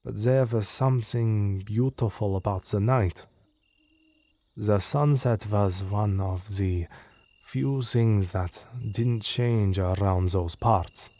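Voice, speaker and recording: A man's voice: on the low side, slow, darkish tone. A man reading a scripted first-person horror story as narrator.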